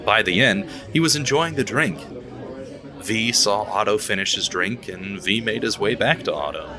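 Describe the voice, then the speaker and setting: A man narrating a scripted audio drama in a steady storytelling manner, over background music. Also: lowish voice, moderate pace, crowd noise.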